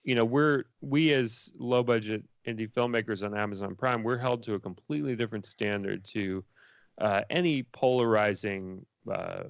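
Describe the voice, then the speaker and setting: Low, creaky-voiced man in casual interview. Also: dry recording. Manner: rambling and informal, many likes and you knows. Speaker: filmmaker being interviewed.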